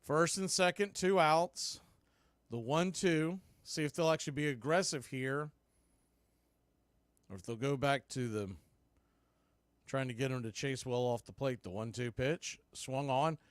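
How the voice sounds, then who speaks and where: A man's voice: medium pitch, measured pace, long pauses, clean tone, no room sound. A man doing conversational, folksy live play-by-play baseball commentary.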